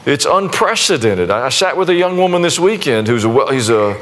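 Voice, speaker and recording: A man preaching a sermon at a middle pitch in a folksy, conversational way. He often stops and restarts his sentences and makes fun of himself. A faint hiss of background noise sits under his voice. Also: sing-song, fairly quick, a bit bright. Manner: conversational, folksy, self-deprecating with many restarts. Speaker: pastor. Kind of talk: sermon and prayer to a congregation.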